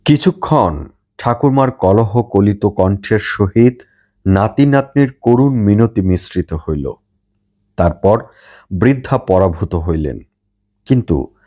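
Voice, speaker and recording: A man's voice: lowish voice, warm tone. A man narrating a literary short story for an audiobook from a script.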